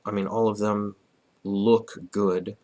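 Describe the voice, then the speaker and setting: A man in his thirties, hoarse from a cold, reviewing a comic casually and rambling with restarts. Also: lowish voice, level tone, slow, a little mellow. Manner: rambling, frequent restarts and throat clearing.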